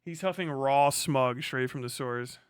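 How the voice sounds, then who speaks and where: A man's voice: mid-range voice, a little slow, clean tone, no echo, clean studio sound. An American man chatting casually on a livestream while gaming, with irreverent, sarcastic and profane commentary. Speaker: live streamer commenting while playing a video game.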